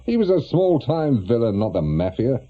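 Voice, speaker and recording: Steady English ex-police investigator, rough-edged voice, informal and measured, lo-fi radio drama. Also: male voice, mid-range voice. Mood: defensive, reasoning. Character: steady, frank middle-aged male private investigator, ex-police. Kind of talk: naturalistic British radio crime drama acting. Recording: dull, lo-fi radio drama recording.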